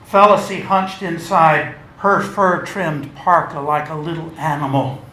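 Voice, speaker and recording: Slow, bright-voiced man reading a story. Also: on the high side. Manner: measured, theatrical, wry. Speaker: author reading aloud. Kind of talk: dramatic literary reading. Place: indoor launch event before an audience. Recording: roomy live event, faint noise.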